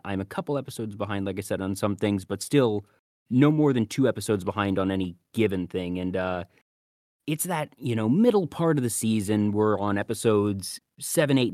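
A man's voice: fairly low pitch, a little fast, darkish tone, no echo, studio quality. A man hosting a podcast, talking casually and giving his commentary on anime. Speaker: podcast host.

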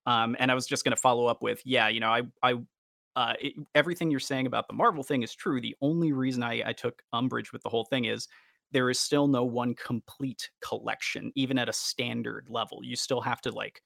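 A man's voice: mid-range voice, steady tone, a little fast, vocal fry, fairly bright tone, dry recording, clean studio sound. A man co-hosting a casual podcast, chatting like an enthusiastic fan. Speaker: co-host.

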